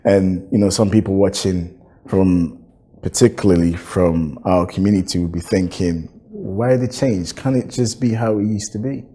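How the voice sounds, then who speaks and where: A man hosting a TV interview show, reading measured, scripted introductions. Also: low voice, mellow, slightly rough. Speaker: TV show host. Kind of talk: presenting and interviewing.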